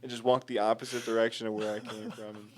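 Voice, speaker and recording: A man in his twenties co-hosting a podcast, telling stories in casual chat and acting out the quotes loudly, with plenty of swearing. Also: lowish voice, fast talker. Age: 20s. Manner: animated storyteller, acts out quotes loudly, swears. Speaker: podcast co-host.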